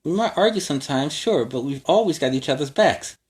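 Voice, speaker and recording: A man voicing a brisk, capable female spy superhero who is exasperated with her partner, in a storybook reading. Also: on the high side, animated, close to an everyday voice. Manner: earnest, exasperated.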